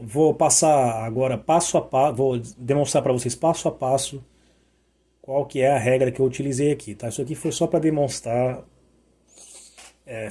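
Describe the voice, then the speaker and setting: A hoarse man teaching musical intervals, singing note names to demonstrate them and checking in often with short questions. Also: medium pitch, dark tone. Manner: sings interval notes to show them. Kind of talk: sung and spoken music lesson.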